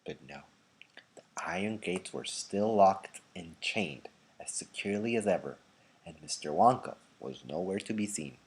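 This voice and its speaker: A man reading a children's book aloud expressively, moving dramatically between projected and hushed delivery. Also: low voice, lively intonation, moderate pace, gravelly, poor audio.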